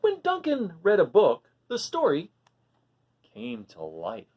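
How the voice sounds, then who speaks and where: A woman reading a picture book aloud for children, animated and often stopping to ask the listener questions. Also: lowish voice, slow pace, highs cut off. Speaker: storybook reader for children.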